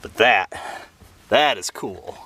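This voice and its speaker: A man's voice: fairly low pitch, takes it slowly, sharp tone, a little hiss or hum. A man talking informally to viewers as he explains a hands-on car project, in a do-it-yourself vlog style. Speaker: car restoration vlogger. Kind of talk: casual do-it-yourself vlog narration.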